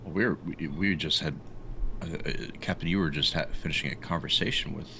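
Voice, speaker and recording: Very deep-voiced man, noisy background. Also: fairly quick, vocal fry. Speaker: tabletop game player. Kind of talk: describing character actions in game.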